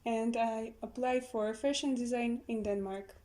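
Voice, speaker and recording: A young woman speaking English as an as a podcast guest, giving brief, halting answers. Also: a little high, steady tone, dark tone. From Poland. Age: young adult. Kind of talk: casual chat.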